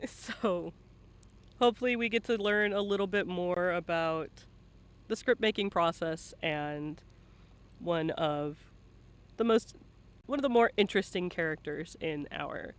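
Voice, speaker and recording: A man hosting a casual live-streamed interview, talking informally with playful teasing and swearing, close to his microphone. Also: high voice. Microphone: close mic at a computer.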